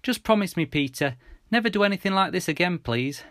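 Man voicing a high-pitched, worried, loving mum. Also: animated, a little fast, fairly bright tone, no room sound, clean studio sound. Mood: pleading. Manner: emotional, pleading. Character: worried, loving mother, tearful and pleading.

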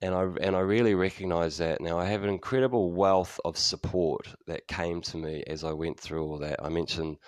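A man in his fifties with a New Zealand accent speaks in a very deep, smooth voice. He rambles openly through a solo podcast, restarting sentences and swearing freely. The sound is dry and close. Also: fairly even intonation, normal speed, few pauses, no echo. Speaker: podcast host. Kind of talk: casual solo podcast monologue.

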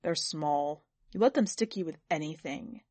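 A woman reading the part of a sour, belittling husband in a story, gloomy and complaining, quick to turn brutal. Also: deep voice, warm tone, no room sound, pitched below the performer's own voice. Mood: grumbling. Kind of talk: dramatic audiobook character reading.

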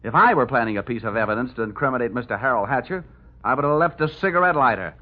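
A man's voice: mid-range voice, expressive, quick pace, close to an everyday voice. An actor playing a wisecracking male insurance investigator who narrates, in 1940s radio drama style, dry and hard-boiled. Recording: muffled old radio broadcast with music.

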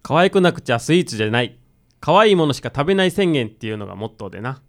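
A woman's voice: a bass voice, very animated, very fast, exaggerated voice. A woman playing a cocky, casual odd-job man with a Kansai accent in a comic radio drama. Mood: enthusiastic. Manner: casual, cocky, rough masculine speech. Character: brash, boastful odd-job man who loves sweets.

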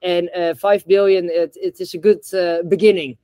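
A man's voice: high-pitched, little pitch movement, moderate pace, warm tone, clear, resonant tone, no echo. A man from the Netherlands, a guest on a podcast interview, speaking with many filler sounds and restarts. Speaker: guest, bamboo reforestation founder.

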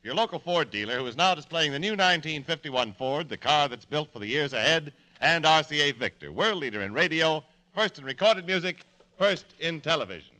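A man's voice: fairly high pitch, animated, brisk, bright, forceful tone. An American man announcing in 1950s network radio style, heard on a noisy old broadcast recording.

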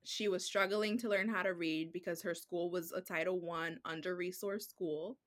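A woman guest whose first language is Spanish, telling her story in a relaxed, chatty way. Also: on the low side, normal speed. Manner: relaxed, chatty, heavy use of "like" and "um". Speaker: children's book author, guest. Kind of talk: casual podcast conversation.

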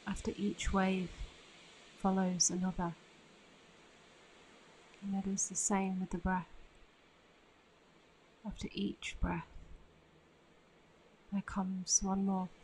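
Female meditation guide, soothing and very slow, little pitch movement, faint ambient noise behind. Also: level tone, darkish tone, low-quality recording. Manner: soothing, unhurried with long pauses.

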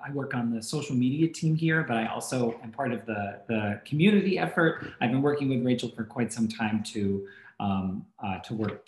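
A man's voice: mid-range voice, darkish tone. Social media guy on a video call, lots of ums and self corrections, roomy echo. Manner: hesitant, many fillers and self-corrections. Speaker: social media team member co-hosting. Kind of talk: online meetup introduction.

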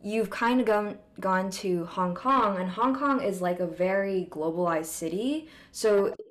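An American woman from California hosting a podcast, chatting casually with quick asides and fillers. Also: medium pitch. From United States (California). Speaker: podcast host. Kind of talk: casual conversation.

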